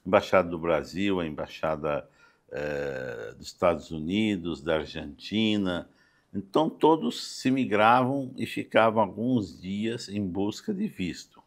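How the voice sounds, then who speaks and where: An older Brazilian man speaking as a guest in a conversational podcast interview, halting and often adding small check-in tags. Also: deep voice, expressive. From Campo Grande, Brazil. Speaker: interview guest, doctor and city councillor. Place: podcast studio.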